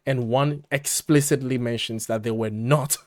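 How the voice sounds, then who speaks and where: Man, podcast co-host, mid-pitched voice, dry sound, conversational, animated recaps. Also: normal speed, no echo. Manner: animated recapping, acts out paraphrases.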